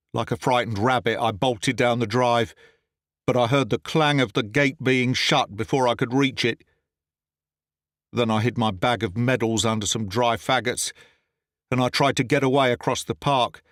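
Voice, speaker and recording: A man narrating a classic short story in a measured, earnest voice of middle pitch. The recording is dry and close, with no room sound. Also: fairly bright tone, no room sound. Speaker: audiobook reader.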